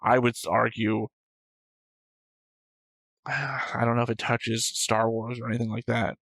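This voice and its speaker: A man with a medium-pitched voice talks casually as co-host of a reaction show. He rambles and jokes his way through tangents. The sound is close and dry. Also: level tone, unhurried, vocal fry, fairly bright tone, no echo. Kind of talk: casual discussion and commentary.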